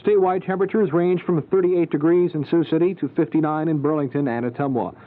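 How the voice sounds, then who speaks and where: A man's voice: on the high side, steady tone, quick pace, phone-quality sound. A man reading scripted news and weather as a radio anchor on a band-limited 1970s AM broadcast recording. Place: radio studio.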